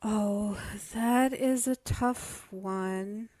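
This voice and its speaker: A woman's voice: mid-range voice, extremely slow, dark tone, dry recording. A woman guesting on a casual podcast discussion, thinking aloud a little hesitantly.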